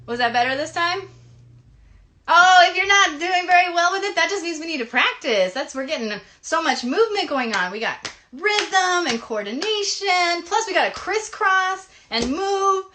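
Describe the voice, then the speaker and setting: A woman's voice: extremely high pitch, animated, moderate pace, pushed and bright. A woman hosting an interactive play session for children, giving instructive, encouraging directions straight to them. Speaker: children's live show host. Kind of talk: interactive kids' play session, teaching and singing a hand game. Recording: noisy live stream with clicks.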